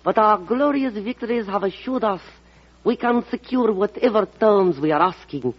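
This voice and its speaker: An actor playing a proud, evasive Japanese ambassador in an old-time radio drama, excessively polite, with formal, clipped delivery. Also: male voice, high voice, animated, normal speed. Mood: smug. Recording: dull lo-fi vintage radio broadcast.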